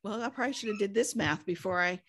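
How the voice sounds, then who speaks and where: Woman, 50s, guest on a church talk show, chatty storyteller, ordinary middle pitch. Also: fast. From United States. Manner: chatty, animated storyteller who acts out quotes. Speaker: pastor's wife and guest. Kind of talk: casual storytelling.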